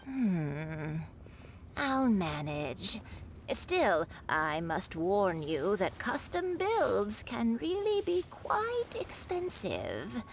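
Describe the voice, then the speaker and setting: Elderly kite seller, grandmotherly, kindly, leisurely pace, expressive pitch, game dialogue. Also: extremely slow, slight background noise, exaggerated voice. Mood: hesitant, polite. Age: older. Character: kindly old granny who makes kites. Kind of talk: video game voice acting.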